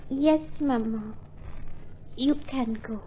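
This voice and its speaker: A woman playing a gentle, obedient little boy, soft and childlike, in old radio drama style. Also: a little high, slow pace. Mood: tender. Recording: muffled, noisy old radio recording.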